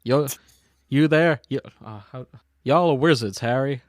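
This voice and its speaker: A man voicing a folksy Texan cowboy wizard in a halting, hammy mock-Texan drawl, as a podcast bit. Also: medium pitch, dry recording, clean studio sound, close to an everyday voice. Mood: hearty.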